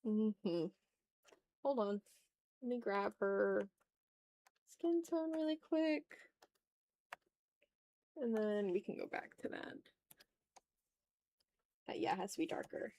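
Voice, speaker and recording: A woman's voice: medium pitch, takes it slowly, pauses often, dark tone, a little hiss or hum. A woman streamer talking casually and informally, half to herself and half to viewers, as she works on art. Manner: informal, self-critical muttering to herself and viewers. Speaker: streamer hosting an art livestream. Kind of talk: casual chat while drawing.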